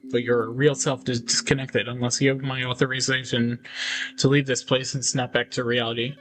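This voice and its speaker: Man reading game dialogue over music. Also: medium pitch. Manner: acts out characters' lines while reading. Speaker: gaming video host. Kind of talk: reading visual novel dialogue aloud with casual reactions.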